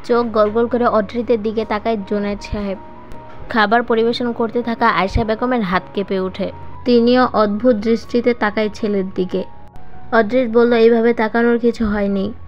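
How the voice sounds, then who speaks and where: Female story narrator, higher voice, for serial romance fiction; slightly dull lo-fi track with music underneath. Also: steady tone, normal speed. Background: background music.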